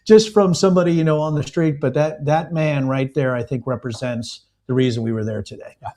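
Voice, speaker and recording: A man who is a guest on a live remote interview, telling stories in a rambling way, halting with fillers. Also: a little high, fairly even intonation, mellow.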